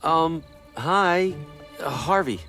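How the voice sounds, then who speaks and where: An ordinary, slightly awkward adventure game hero. He sounds wary and wry, hesitating often, with animated pitch movement. Music runs softly underneath. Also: takes it slowly, exaggerated voice. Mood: nervous. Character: wary, awkward everyman salesman hero, dryly skeptical. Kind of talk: video game voice acting.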